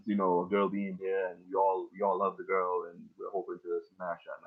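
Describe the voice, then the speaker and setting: Low-voiced young man on tinny audio. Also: completely flat delivery, a little fast. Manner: loose, rambling, heavy casual profanity. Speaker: rapper explaining his lyrics. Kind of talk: casual line-by-line lyric breakdown.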